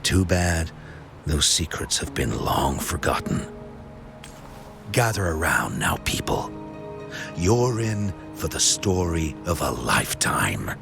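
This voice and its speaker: Deep, gravelly, theatrical storyteller. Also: male voice, sing-song, over music, full character voice. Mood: mysterious, proud. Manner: dramatic, drawn-out, showman-like delivery. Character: theatrical male storyteller spinning legends to a crowd. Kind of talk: theatrical storytelling in a video game.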